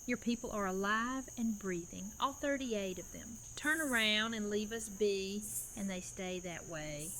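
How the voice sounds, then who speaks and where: Actress as a firm commanding leader, faint hiss behind, fan drama. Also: female voice, medium pitch, measured pace, bright, forceful tone. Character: resolute female community leader.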